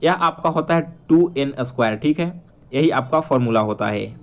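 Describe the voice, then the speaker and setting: A man's voice: medium pitch, lively intonation, moderate pace. A man teaching exam questions, explaining answers step by step and checking in with viewers. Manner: instructional, addresses viewers directly with frequent check-ins.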